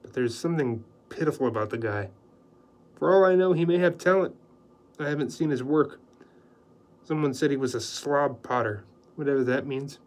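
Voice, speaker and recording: A man voicing a middle-aged journalist who speaks in a low, wry voice. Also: normal speed, pauses often, natural voice.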